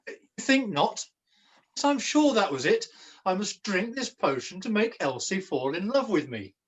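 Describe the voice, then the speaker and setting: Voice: medium pitch, sing-song, moderate pace, natural voice. An amateur actor playing a melancholy, gullible pantomime jester with a theatrical, declamatory delivery, recorded at home over a video call. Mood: musing. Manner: theatrical, declamatory, archaic wording.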